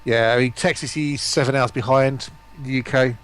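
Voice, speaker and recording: A man's voice: medium pitch, steady tone, moderate pace. A man chatting casually as a guest on a livestream. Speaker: guest streamer.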